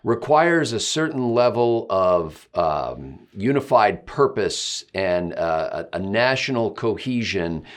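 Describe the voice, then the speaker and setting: A man with an American accent asking interview questions in a measured, formal and wordy way. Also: fairly low pitch, sing-song.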